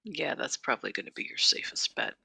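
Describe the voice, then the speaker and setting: A woman's voice: extremely low pitch, sing-song, fairly quick, vocal fry, bright, forceful tone, husky. A woman playing a tabletop game, chatting in character with a dry, laughing manner. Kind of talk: in-character roleplay chat.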